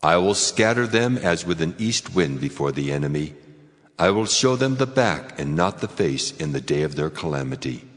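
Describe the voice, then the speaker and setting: A man's voice: a bass voice, lively intonation, moderate pace. A man playing a stern, solemn God passing judgment in a dramatized scripture reading, with a grave, commanding, declamatory delivery. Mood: stern, sorrowful. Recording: long cavernous reverb, faint music behind.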